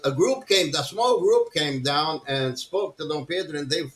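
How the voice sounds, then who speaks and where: Older brazilian guy, portuguese first language, english w/ a southern us twang mixed in, bright voice, keeps restarting his sentences. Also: a little high, expressive, normal speed. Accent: Brazilian Portuguese with a Southern US tinge. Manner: many restarts and repeated words, laughs easily. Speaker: interviewee. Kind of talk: casual conversation.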